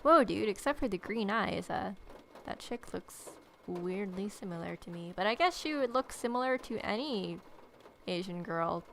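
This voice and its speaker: A woman's voice: mid-range voice, lively intonation, moderate pace, vocal fry, a little hiss or hum. A woman doing a casual let's-play, reading game text aloud and adding wry, sardonic asides. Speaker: gaming video host. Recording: faint game music behind. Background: soft game music.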